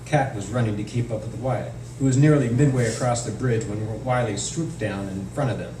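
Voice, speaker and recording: A man's voice: mid-range voice, normal speed, warm tone. A man, an author, reading narrative prose aloud from his novel at a live indoor event. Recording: echoey noisy room, distant microphone.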